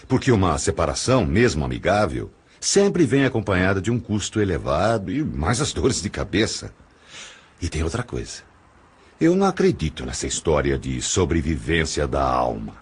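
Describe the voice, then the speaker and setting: A very low, raspy-husky male lover played by an actor in an audiobook drama. He is a smooth-talking older suitor, declaiming his devotion with sweeping, lively intonation. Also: heavily acted. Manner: romantic, persuasive, declamatory.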